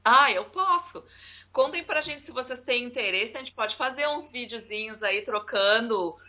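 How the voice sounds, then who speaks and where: A woman, co-hosting a casual chat and telling lively stories straight to the viewers. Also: on the high side, normal speed. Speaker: co-host. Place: abroad, remote call.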